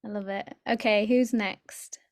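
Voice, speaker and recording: A woman runs an online meetup over a video call, her voice sitting in an ordinary middle register. She talks in an upbeat, effusive way, warmly encouraging each person to speak in turn. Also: mid-range voice, fairly even intonation, a little slow. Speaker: online group host. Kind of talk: casual video-call introductions.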